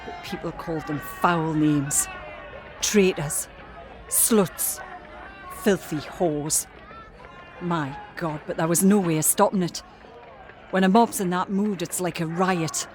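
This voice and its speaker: A woman in an audio drama playing a shaken witness who gives grave, deliberate testimony. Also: fairly low pitch, a little mellow, faint music behind. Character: shaken witness recalling wartime mob cruelty. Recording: noisy crowd behind the voice.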